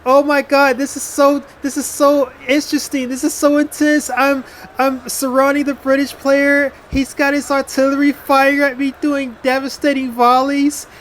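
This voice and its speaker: A man's voice: very high-pitched, normal speed, slight background noise, pitched above the performer's own voice. A man mocking a gushing, giddy gaming YouTuber. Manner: breathless, over-excited gushing. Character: gushing, bubbly young male casual gaming YouTuber.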